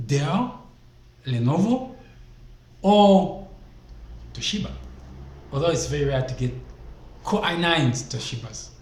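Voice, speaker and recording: A man's voice: a little high, a little mellow. A man from Zambia giving business advice as a vlogger, emphatic and fond of rhetorical questions, speaking into a desk microphone. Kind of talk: casual motivational talk to camera. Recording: echoey room, faint background noise.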